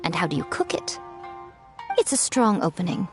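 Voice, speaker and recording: A woman's voice: on the low side, big pitch swings, moderate pace, soft background music. An actress voicing a poised, teasing fox-spirit woman who runs a publishing house in a video game. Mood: amused. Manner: poised and teasing, playfully secretive.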